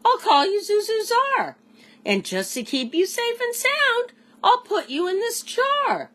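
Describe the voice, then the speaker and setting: A woman voicing an eager, doting three-eyed alien in a children's storybook, with squeaky, commanding pet-owner talk. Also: sing-song, a little slow, a bit bright, full character voice. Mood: eager, gleeful. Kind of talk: children's storybook read-aloud.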